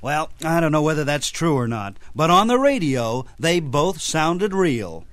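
A man's voice: fairly high pitch, sing-song, measured pace, a bit bright, dry recording. A man hosting a radio program with scripted narration. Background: music.

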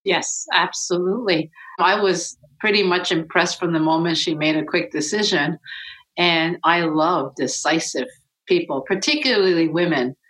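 Deep-voiced woman co-host, enthusiastic. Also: moderate pace, fairly bright tone, low-quality recording. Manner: enthusiastic, complimentary. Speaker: podcast co-host. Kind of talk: casual interview chat.

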